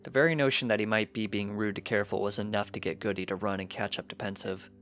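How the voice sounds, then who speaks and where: A male narrator reads fiction in a scripted storytelling style. His pitch sits a little low, and music plays behind the voice. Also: steady tone, fast, a bit bright. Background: background music.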